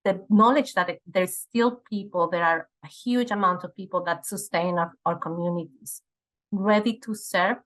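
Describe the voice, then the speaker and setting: A woman in her forties from Ecuador, answering interview questions in an earnest, halting way with many fillers and repeated words. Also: mid-range voice, fairly bright tone, poor audio. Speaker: guest, nonprofit fundraising director.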